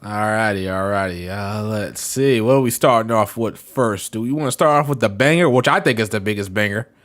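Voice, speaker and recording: A man's voice: on the low side, fairly quick, a bit bright. A man hosting a casual podcast, laughing often and teasing his co-hosts. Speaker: podcast host.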